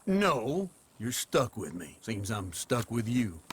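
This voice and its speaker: An actor playing a lazy, teasing older outlaw in a video game, theatrical and self-pitying. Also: male voice, lowish voice, very animated, takes it slowly, a little hiss or hum. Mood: mocking. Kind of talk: dramatic video game acting.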